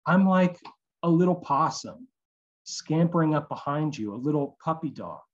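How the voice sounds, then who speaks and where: A man in his thirties reading poetry in a measured, deliberate way over a video call. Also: on the high side, expressive. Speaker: poet. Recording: dull, muffled video-call audio.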